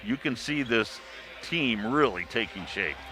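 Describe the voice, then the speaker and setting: Bright, forceful male sports announcer. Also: medium pitch, expressive, a little slow. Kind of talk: polished basketball analysis.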